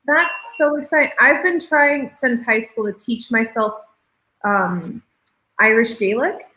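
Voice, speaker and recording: A woman with a somewhat high voice chats casually on a live stream, thinking aloud and rambling through her ideas. The sound is roomy and echoey around her. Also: a little slow, pushed and bright. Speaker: co-host of writing sprints. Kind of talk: casual chat on a video call.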